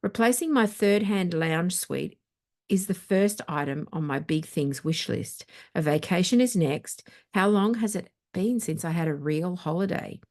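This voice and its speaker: A woman with a slightly low voice reads from a book as a storytime host. Her delivery is expressive and conversational, with wry turns on the questions she reads. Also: unhurried. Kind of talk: expressive book reading with casual asides.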